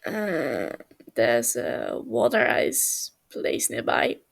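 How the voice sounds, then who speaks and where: A woman voicing a stern, big-brotherly male villain with a dry, calm manner in a story reading. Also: a bass voice, extremely slow, vocal fry, voice pushed down, heavily acted. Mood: reluctant, chiding. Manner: dry, calm, hesitant when sincere.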